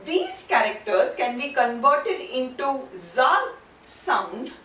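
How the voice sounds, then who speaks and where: A woman teaching a language lesson step by step, speaking deliberately and repeating syllables and terms for learners. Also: high voice, big pitch swings, sharp tone. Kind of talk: instructional lesson, step-by-step explanation. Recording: roomy, distant mic, faint background noise.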